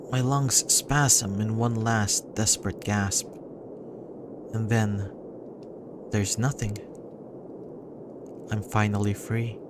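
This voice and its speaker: A man reading a visual novel as dramatic first-person narration, pausing between short phrases. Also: fairly low pitch, noisy background. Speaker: reader voicing a visual novel. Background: music.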